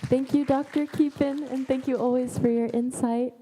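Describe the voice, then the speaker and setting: Female moderator: high, soft-toned voice, brisk and courteous, faint noise underneath. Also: steady tone, a faint crowd. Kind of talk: moderating a conference Q&A.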